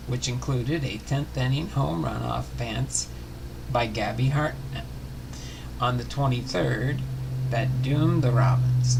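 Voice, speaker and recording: A man reading a scripted sports history narration aloud, haltingly, often stumbling and correcting himself. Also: mid-range voice, creaky, noisy background. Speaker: host of a sports history show. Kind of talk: scripted narration read aloud, baseball biography.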